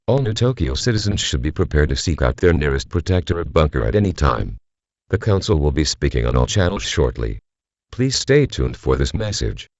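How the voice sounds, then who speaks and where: A man playing a grave government announcer, reading a scripted emergency bulletin in a formal, measured, solemn manner. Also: a bass voice, darkish tone, dry recording, muffled recording, full character voice. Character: grave male government civil defense announcer.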